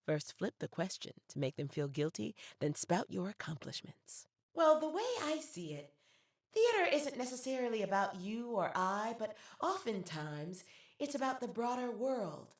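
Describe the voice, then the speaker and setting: Wry, animated young American theater student. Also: female voice, medium pitch, moderate pace, no room sound, studio quality. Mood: sly, confiding, then polished, performing. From Detroit, United States. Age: young adult. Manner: theatrical, sardonic, swinging between confiding and performing. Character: savvy, wry young woman theater student hustling for scholarships. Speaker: actor in an audio play. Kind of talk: dramatic monologue to the audience.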